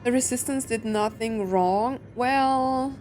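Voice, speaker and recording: A woman's voice: fairly high pitch, slow, darkish tone, faint music behind. A woman giving casual gameplay commentary, musing aloud in a rambling way. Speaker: video game streamer.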